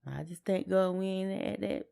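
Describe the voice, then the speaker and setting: A woman's voice: medium pitch, normal speed, creaky, dark tone. A woman, chatting casually as a podcast co-host. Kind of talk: casual chat.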